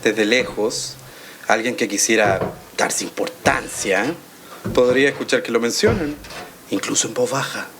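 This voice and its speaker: An actor playing a courteous, self-important entrepreneur in an audio drama, formal and deliberate. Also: male voice, mid-range voice, background noise, close to an everyday voice. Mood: smooth, courteous. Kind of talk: naturalistic audio drama acting.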